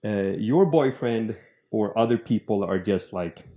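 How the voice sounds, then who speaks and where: Man, host, low voice, mellow tone, earnest, casual interview. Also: animated, measured pace. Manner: earnest, thinking aloud. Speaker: podcast host.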